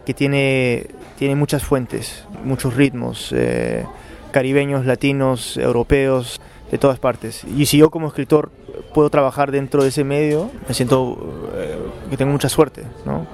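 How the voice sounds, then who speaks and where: A man's voice: medium pitch, expressive, measured pace, vocal fry, darkish tone. Hesitant man answering at length. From Peru. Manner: thoughtful, many hesitations and repeated words. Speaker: interviewed novelist. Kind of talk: unscripted interview answers.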